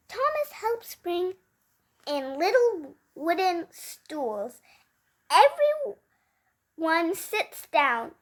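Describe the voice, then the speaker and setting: Female, very high voice, very slow pace, children's read-aloud, expressive, emphatic, word-by-word delivery. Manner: expressive, emphatic, word-by-word reading with occasional stumbles. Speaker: host reading a children's storybook aloud.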